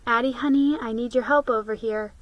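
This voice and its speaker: A woman voicing a gentle, hardworking mother from an old-time storybook, with homely, folksy phrasing. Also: a little high, fairly even intonation, brisk, dry recording, muffled recording, natural voice. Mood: gentle, instructing.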